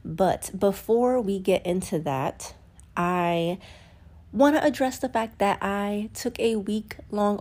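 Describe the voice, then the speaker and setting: A woman's voice: mid-range voice, a little mellow. A woman hosting a solo podcast in a casual, candid way. She is a little congested and sniffly and often acts out what people say. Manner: chatty, candid, acts out quoted voices. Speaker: podcast host.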